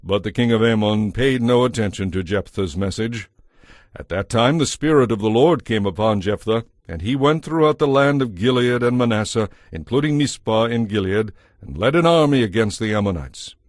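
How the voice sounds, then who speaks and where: A man narrating a dramatized scripture audiobook from a script, in a measured, formal manner. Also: fairly low pitch, animated, dry recording, clean studio sound.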